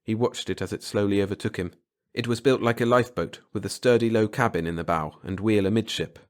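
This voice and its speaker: A man's voice: fairly low pitch, fast. A man reading a short story aloud as a dramatic, scripted audiobook narration.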